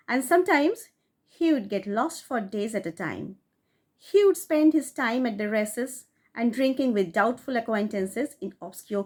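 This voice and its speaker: Narrator: woman, slightly high voice, measured expressive storytelling. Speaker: audiobook reader.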